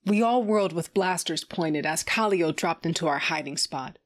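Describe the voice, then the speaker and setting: A woman's voice: lowish voice, moderate pace, lightly acted. A woman voicing a tough, sardonic fighter with a cybernetic eye who narrates in the first person, blunt and profane with dry humor. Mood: gruff, wry.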